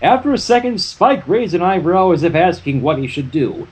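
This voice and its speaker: Animated story reader, husky voice, scripted fan fiction narration with offhand asides. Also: mid-range voice, moderate pace.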